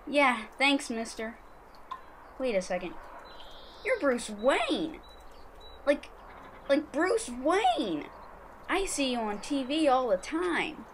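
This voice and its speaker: A woman's voice: high voice, sing-song, slow pace, long pauses, a little hiss or hum, full character voice. A woman playing a young, bullied schoolboy, eager and naive, in an amateur audio drama. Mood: eager, starstruck.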